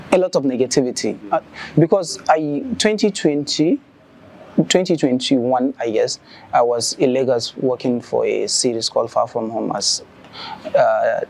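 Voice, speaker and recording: A man's voice: mid-range voice, creaky, darkish tone, a little hiss or hum. A man with a northern Nigerian accent hosting a podcast, talking casually with many fillers. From Kano, northern Nigeria. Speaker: podcast host. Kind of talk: conversational interview.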